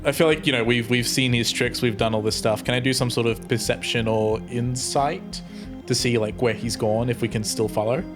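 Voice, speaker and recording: A man's voice: mid-range voice, steady tone, normal speed, over music. A man talking casually as a player in a tabletop roleplaying game.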